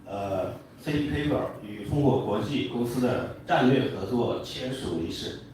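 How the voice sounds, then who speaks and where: A man from China with a Chinese accent, giving a halting formal speech as a company representative. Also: fairly low pitch, lively intonation, darkish tone. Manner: halting, hesitant delivery. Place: large indoor hotel event room. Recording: very echoey hall, distant mic, faint noise.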